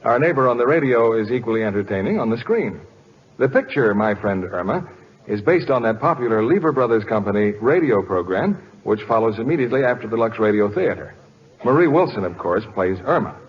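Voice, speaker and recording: A man's voice: on the low side, animated, a little fast. An American man hosting and announcing in scripted 1940s radio style. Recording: dull old radio broadcast, faint music.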